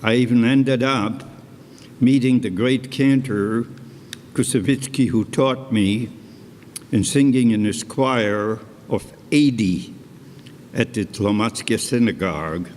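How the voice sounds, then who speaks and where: An older man born in Poland, speaking English, tells his own life story on stage to a live audience in a slow, deliberate way, with chatty asides. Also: mid-range voice, a little mellow, slight background noise. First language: Polish. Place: concert hall stage. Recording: live hall recording with long reverb.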